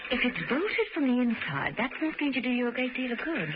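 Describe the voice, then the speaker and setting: Female investigator, radio drama, cool, witty, dry, lively intonation, muffled hissy old recording. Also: high voice, fairly quick. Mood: dry, composed. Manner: dry, teasing, composed. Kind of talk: vintage radio drama acting.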